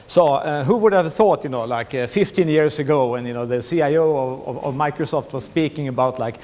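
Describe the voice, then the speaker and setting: A man's voice: mid-range voice, a little fast, a little mellow. A middle-aged man with a Swedish accent gives a conference presentation, restarting phrases and repeating words, often saying "you know". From Sweden. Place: large conference hall. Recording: long hall reverb.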